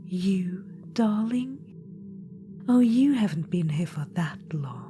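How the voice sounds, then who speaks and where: A woman's voice: fairly low pitch, animated, takes it slowly, dark tone, faint music behind. A woman voicing an ancient undead witch-queen spirit in an ASMR roleplay, whispering in a purring, patronizing way. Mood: whispered, scheming. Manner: purring, patronizing, calls listener darling.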